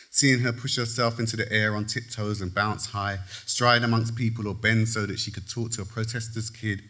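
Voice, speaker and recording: A man reading aloud from his own short story to a live audience on a theatre stage, measured and trailing low at the ends of phrases. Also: fairly bright tone. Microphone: lectern mic, far camera.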